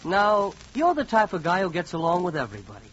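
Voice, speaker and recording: A man's voice: high-pitched, wildly swooping pitch, fast, fairly bright tone. A man narrating a 1940s radio comedy in a wry, conversational, second-person style. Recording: muffled, faintly noisy 1940s radio recording.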